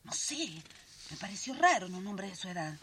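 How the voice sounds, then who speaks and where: Cheeky, animated Argentine woman. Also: medium pitch, brisk, a little hiss or hum. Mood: confiding, hushed. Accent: Rioplatense Argentine. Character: brisk, cheeky, confident grown daughter and sister. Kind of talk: radio drama acting.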